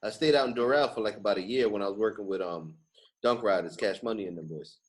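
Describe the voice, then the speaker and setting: A man's voice: fairly low pitch, fast. A man hosting a podcast in casual conversation, with slangy, emphatic street talk. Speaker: podcast host. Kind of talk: casual chat. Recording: echoey room.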